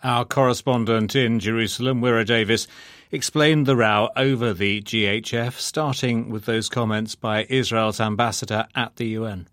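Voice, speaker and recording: A man presenting the news, reading scripted items in a measured, formal way and questioning correspondents. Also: medium pitch, steady tone, a bit bright, no echo.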